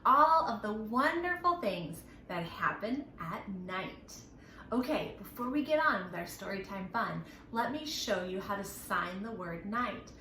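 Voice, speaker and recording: A woman's voice: medium pitch, animated, unhurried, pushed and bright, roomy sound, a little hiss or hum. A woman leading a children's storytime lesson, speaking or singing in an encouraging, playful way with careful, exaggerated enunciation for little ones. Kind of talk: children's lesson with songs and questions.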